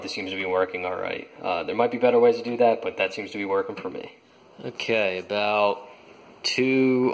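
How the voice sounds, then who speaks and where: A young man casually explaining his home renovation work while filming it himself, pausing often with ums and uhs. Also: on the low side, poor audio. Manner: hesitant, many ums and uhs. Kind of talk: casual how-to narration. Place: kitchen in a house, indoors. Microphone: handheld camera.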